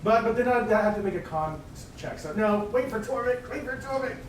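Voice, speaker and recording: A man's voice: extremely high pitch, animated, a little fast, roomy sound, a crowd in the background, low-quality recording. A man chatting casually as a player in a tabletop game.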